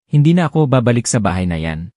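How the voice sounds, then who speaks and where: A man voicing an angry young husband who speaks forcefully and firmly in defense of his wife and children. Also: medium pitch, fast, no room sound, clean studio sound. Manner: forceful, shouting, then calm but firm.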